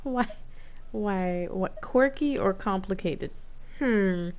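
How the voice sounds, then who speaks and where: A woman's voice: mid-range voice, slow, a little mellow. A woman giving casual gameplay commentary, playful and slangy, reacting in short quips. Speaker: gameplay streamer. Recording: faint game music behind.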